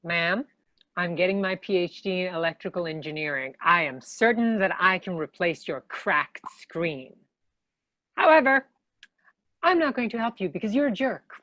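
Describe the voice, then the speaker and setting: A woman acts a self-assured twenty-five-year-old shop worker in a comedy read. Her voice sits slightly low, recorded close without room sound, and she speaks with dry, matter-of-fact confidence. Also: animated, normal speed, a bit bright, no echo. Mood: confident, dry. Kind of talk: comedy script table read.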